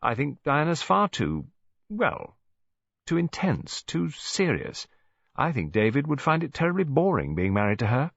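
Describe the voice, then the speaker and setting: A man acts out a headstrong young English woman from a storybook. She talks quickly and sharply, with a defiant edge and swooping intonation. The recording is dry and slightly muffled. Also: a little high, wildly swooping pitch, gravelly, a bit bright, breathy edge, no room sound, pitched above the performer's own voice, heavily acted. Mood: hesitant, sudden.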